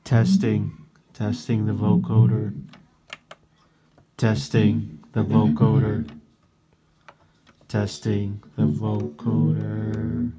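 Robot vocoder voice, super slow test phrases over and over, synth keys playing along. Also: medium pitch, monotone, music in the background. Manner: repetitive mic-check delivery. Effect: vocoder, robotic synthesized processing. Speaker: musician demonstrating a synthesizer. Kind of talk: short repeated test phrases for a synthesizer demo. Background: synthesizer keyboard playing.

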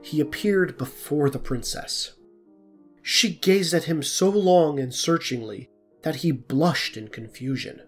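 A man's voice: fairly high pitch, slow pace, soft background music. A man narrating a scripted folk tale as the storyteller of an audio drama.

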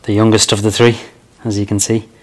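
A man's voice: lowish voice, level tone, a little fast, a little hiss or hum. A man in his thirties with an Irish accent, explaining barn owls in a chatty way, full of "like, you know". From Ireland. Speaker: barn owl expert. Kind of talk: explaining while handling birds. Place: inside a large open farm shed.

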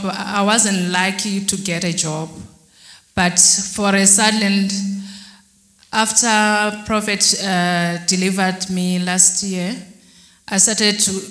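A young woman giving a church testimony into a handheld mic, halting and hesitant with fillers and restarts. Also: medium pitch, a bit bright. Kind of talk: testimony interview. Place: church hall backdrop, indoors. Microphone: handheld mic held by interviewer. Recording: roomy hall sound.

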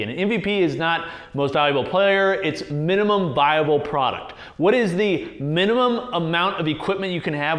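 A man in his thirties with an American accent, giving an informal, direct and plain-spoken explainer to camera as a video host in a garage gym. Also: on the high side. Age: 30s. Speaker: fitness equipment reviewer and video host. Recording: roomy echo.

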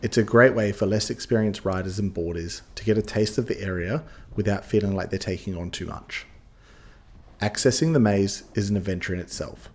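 A man's voice: lowish voice, brisk. A man giving upbeat scripted voice-over narration, guiding listeners step by step like a ski guide.

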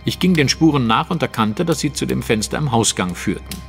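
An actor playing a confident, analytical detective in a German audio drama, setting out a case in articulate, precise, dramatic storytelling. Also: male voice, mid-range voice, lively intonation, a little fast, vocal fry, soft background music. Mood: analytical, assured. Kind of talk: German audio drama acting, narrative monologue.

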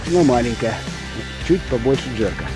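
A middle-aged man calmly commenting to himself while fishing from a small inflatable boat, picked up by an action camera outdoors. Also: medium pitch. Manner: calm, unhurried, talking to himself between casts. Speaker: fishing vlogger. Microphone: action camera on the boat and chest. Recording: outdoor recording with wind and water noise.

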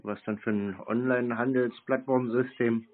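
A man's voice: mid-range voice, fairly even intonation, normal speed. A man in a phone conversation, answering curtly and contrarily, giving nothing away. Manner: curt, stonewalling, contrary. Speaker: scam-baiter taking phone calls. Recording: tinny phone-quality audio.

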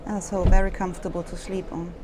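A woman's voice: on the low side, flat delivery, fast talker, noisy background. A woman exhibitor demonstrating a small caravan at a trade fair, explaining features in simple, non-native phrasing. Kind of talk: product demonstration.

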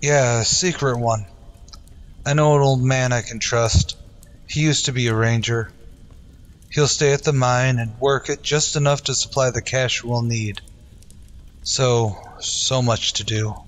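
A man's voice: mid-range voice, fairly even intonation, measured pace, natural voice. A man playing an earnest, resolute lawman hero in an old-time western radio drama, speaking in solemn declarations. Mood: weary, determined.

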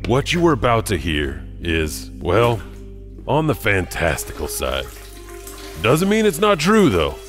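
A man plays an eerie, chatty janitor hosting horror tales. His low voice swings up and down with folksy, dark playfulness, over clearly audible music. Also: huge pitch swings, unhurried, exaggerated voice. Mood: eager storytelling. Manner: rambling, folksy, darkly playful. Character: chatty, eerie, friendly male janitor storyteller. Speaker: host. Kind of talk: theatrical horror anthology narration.

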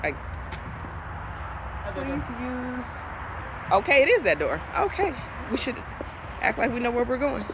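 A woman's voice: sits high, very animated, measured pace, long pauses, background noise. A woman in her thirties, a food vlogger chatting casually and enthusiastically into a phone at arm's length in a restaurant. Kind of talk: casual vlog narration. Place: restaurant dining room. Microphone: phone camera at arm's length. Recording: loud music behind. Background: loud music.

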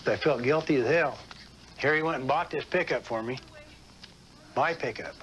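A man's voice: mid-range voice, normal speed, fairly bright tone, lo-fi sound, slight background noise. A man with an American accent giving a personal recollection in an interview.

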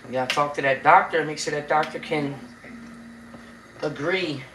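A woman's voice: low-pitched, normal speed, noisy background. A woman, chatting casually with livestream viewers while she cooks in her home kitchen, talking into a tablet on the counter. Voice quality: raspy. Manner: chatty, greets viewers by name, drawling. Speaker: cooking livestream host. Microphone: tablet on the counter, close.

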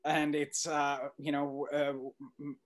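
Halting middle-aged man, slightly high voice. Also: completely flat delivery. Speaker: documentary filmmaker being interviewed. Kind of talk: conversational interview answers. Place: indoor room on a video call. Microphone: computer mic on video call.

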